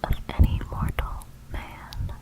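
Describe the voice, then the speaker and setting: Slow whispering woman reading horror. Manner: hushed, deliberate, breathy whispering with careful pauses. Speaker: ASMR storyteller reading a horror tale. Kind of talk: whispered ASMR narration of a gothic horror story.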